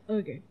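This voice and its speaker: A woman co-hosting a casual podcast chat, talking in a giggly, animated way. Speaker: podcast co-host.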